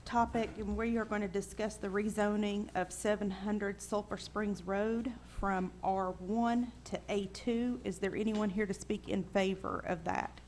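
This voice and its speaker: Brisk woman chairing a meeting. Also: mid-range voice, steady tone, darkish tone, a little hiss or hum. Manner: brisk, procedural.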